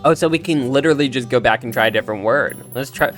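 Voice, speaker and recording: A man's voice: mid-range voice, fast. A man hosting a let's play, chatting casually and reacting aloud as he plays. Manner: animated, reacting aloud to the game. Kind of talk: casual gameplay commentary. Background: game music with guitar.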